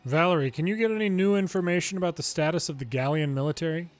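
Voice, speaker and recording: A man's voice: fairly high pitch, quick pace, soft background music. A man reading video game dialogue aloud dramatically, acting out each character's lines in turn.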